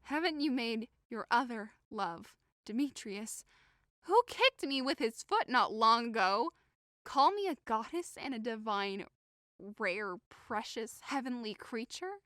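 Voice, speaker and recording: A woman's voice: on the high side, animated, unhurried, fairly bright tone, no room sound, studio quality. A woman playing a hurt, indignant young woman in a modern-English Shakespeare audio drama, impassioned, accusing and sarcastic. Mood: suspicious, accusing. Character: hurt, indignant young woman convinced she is being mocked.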